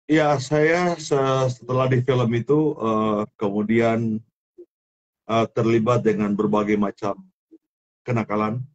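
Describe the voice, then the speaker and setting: Halting man with a Sulawesi accent. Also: mid-range voice, steady tone, a little mellow, lo-fi sound. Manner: repetitive, halting, many restarts. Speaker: guest, former film actor turned minister. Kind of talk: testimony interview.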